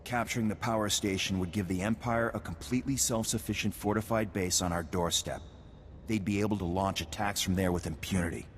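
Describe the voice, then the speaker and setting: A man's voice: fairly even intonation, a little fast, lightly acted. A man playing an earnest, grave military officer in a science fiction video game. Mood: grave, decisive. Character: earnest, grave Republic military commander at an ice outpost. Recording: boomy hall reverb, noisy game ambience.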